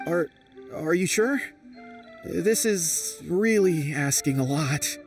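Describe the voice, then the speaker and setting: Game frog crooner, male, timid and downcast, slow halting delivery. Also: fairly high pitch, animated, recorded from a distance, music in the background. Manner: hesitant, sighing.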